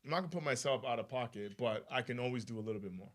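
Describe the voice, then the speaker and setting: A man speaking thoughtfully and in measured terms as a panelist in a live discussion. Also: mid-range voice.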